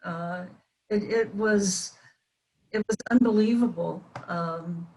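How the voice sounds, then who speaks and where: A woman's voice: medium pitch, slow, dark tone, a little hiss or hum, poor audio. An older woman, a former performer appearing as a panel guest, reminiscing casually and hesitantly.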